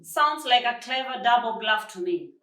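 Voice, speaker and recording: A woman reading the part of a patient, firm policeman in a children's storybook, measured and emphatic. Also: a little high, expressive, a bit bright, voice pushed up. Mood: skeptical. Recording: roomy, distant microphone.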